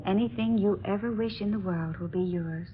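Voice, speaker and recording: A woman's voice: mid-range voice, moderate pace, natural voice. A woman acting a devoted, romantic young princess in a melodramatic old-time radio drama. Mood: admiring. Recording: dull, noisy old radio recording.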